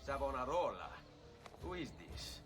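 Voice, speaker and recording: An actor playing a resolute, courteous assassin with an Italian accent in a video game cutscene. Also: male voice, medium pitch, very animated, unhurried, a bit bright, recorded from a distance, soft background music. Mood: stern, determined. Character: determined Italian male assassin hunting a stolen artifact.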